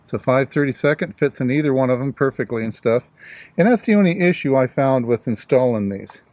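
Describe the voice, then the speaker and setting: A man's voice: mid-range voice, expressive, a little fast, a little mellow, dry recording. A man casually narrating a hands-on DIY installation, explaining it plainly step by step.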